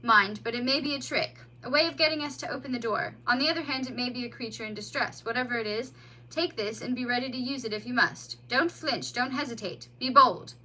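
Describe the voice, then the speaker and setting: A woman voicing a brisk, kindly male mole. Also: fairly high pitch, sharp tone, low-quality recording. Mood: commanding. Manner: brisk, firm then soothing. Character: kindly commanding male mole, middle-aged.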